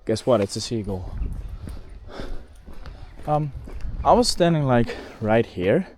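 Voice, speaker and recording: A man's voice: mid-range voice, a little mellow. A man with a Dutch accent, a native Dutch speaker, chatting casually and rambling as he walks outdoors, often correcting himself and leaning on "like" and "um.". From Netherlands. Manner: rambling, self-correcting, lots of "like" and "um". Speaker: bird photographer vlogger. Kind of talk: casual rambling walk-and-talk. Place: outdoors in open countryside, walking. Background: faint outdoor ambience with passing cars.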